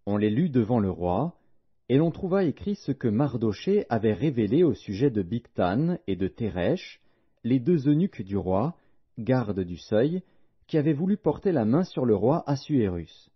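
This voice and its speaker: A man's voice: medium pitch, big pitch swings, normal speed, breathy edge. A man narrating a Bible audiobook in a solemn, scripted reading style. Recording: dull, lo-fi audio.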